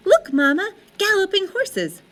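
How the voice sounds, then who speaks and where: Storybook role: eager, imaginative cave child, played by a woman, very high and animated, pleading and exclaiming, faint music behind. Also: measured pace, heavily acted. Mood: eager, excited. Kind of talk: storybook character read aloud.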